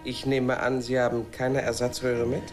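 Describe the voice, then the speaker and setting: An actor in a radio drama playing a blunt, practical settler leader who talks tersely and questions everything. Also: male voice, medium pitch, monotone, moderate pace, music in the background, lightly acted. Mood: matter-of-fact. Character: Lionel, blunt, practical settler leader, grumbly but fair. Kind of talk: naturalistic German radio drama acting.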